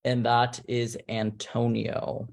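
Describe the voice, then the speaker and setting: A man introduces players one by one in a loose, rambling way. His voice sits at an ordinary middle pitch, and he leans on fillers while he works out what to say next. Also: completely flat delivery, slow, creaky, darkish tone. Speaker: host revealing a game cast. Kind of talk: casual online cast reveal.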